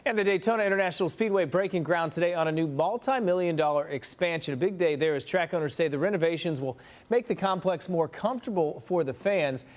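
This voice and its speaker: A man's voice: on the high side, fast talker. An American man reading the news as a morning television anchor.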